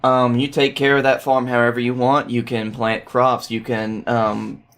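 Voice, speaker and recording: A male podcast guest with a medium-pitched voice. He explains things in a loose, conversational way, with wry asides and the odd swear word. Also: flat delivery, moderate pace, a bit bright. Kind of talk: casual explanatory chat.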